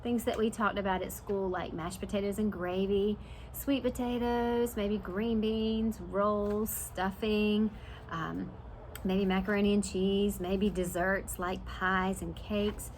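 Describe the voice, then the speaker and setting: A woman's voice: medium pitch, little pitch movement, slow, a little hiss or hum, poor audio. A woman preschool teacher reading a picture book aloud and stopping to explain it simply for young children. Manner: simple explanatory asides, dramatized story reading.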